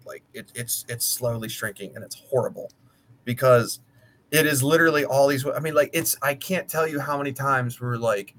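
A man's voice: mid-range voice, moderate pace, a bit bright, slight background noise. Blunt, talkative American man, around thirty. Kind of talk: casual chat.

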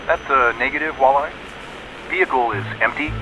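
A man's voice: mid-range voice, moderate pace, pushed and bright, soft background music, low-quality recording. A man acting in an audio drama as a laid-back, literal-minded lookout heard through a walkie-talkie filter. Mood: matter-of-fact. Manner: terse radio jargon with rambling asides. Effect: walkie-talkie radio filter. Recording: band-limited walkie-talkie sound.

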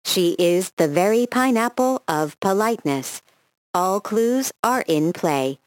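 A woman's voice: mid-range voice, animated, a little slow, no room sound, natural voice. A woman playing a mysterious announcer in a scripted game promo. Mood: portentous. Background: music and effects.

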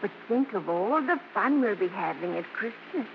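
An actress playing a bossy, warm-hearted old grandmother who scolds in folksy phrases, in old-time radio acting style. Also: medium pitch, expressive, moderate pace, creaky. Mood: soothing, brisk. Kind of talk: 1940s-50s radio acting. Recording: muffled, noisy old radio recording.

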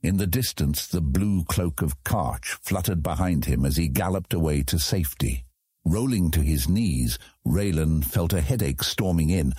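Male narrator, very low and husky, scripted epic fantasy audiobook delivery, dry studio sound. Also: a little mellow, dry recording.

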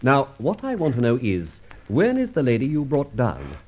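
A man playing a suave, menacing gentleman adventurer in an old radio serial, mock-polite and commanding, with clipped questions. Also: medium pitch, huge pitch swings, normal speed. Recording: dull, lo-fi old radio recording.

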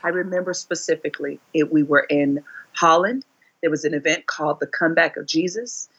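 A woman in her forties, a singer being interviewed, telling her story expressively, her voice climbing high whenever she relives a moment. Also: a little fast, a bit bright, breathy edge, highs cut off. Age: 40s. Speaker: guest singer.

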